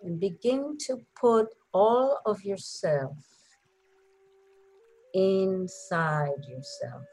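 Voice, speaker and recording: Very slow, soothing woman guiding meditation. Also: mid-range voice, faint music behind, rough recording. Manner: gentle, soothing, unhurried with long pauses. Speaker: meditation guide. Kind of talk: guided meditation.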